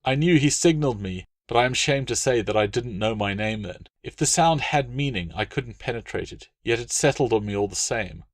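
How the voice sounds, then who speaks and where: A man reading scripted first-person novel narration as an audiobook, in a measured, dramatic storytelling manner. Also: medium pitch, lively intonation, no echo.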